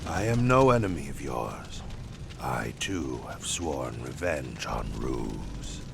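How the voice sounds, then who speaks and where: An actor playing a grave, ominous supernatural figure in a video game cutscene, speaking in a solemn, formal, portentous manner. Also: male voice, extremely low pitch, animated, takes it slowly, gravelly, exaggerated voice. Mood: solemn, brooding. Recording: music behind the voice.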